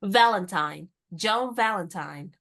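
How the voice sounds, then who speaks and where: A spirited young American heroine of a radio comedy, acted by a woman. She has a mid-pitched voice and a brisk, forthright manner. She sounds independent and encouraging, as if nothing daunts her. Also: lively intonation, gravelly, a bit bright, heavily acted. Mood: polite, composed. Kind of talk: radio play comedy dialogue.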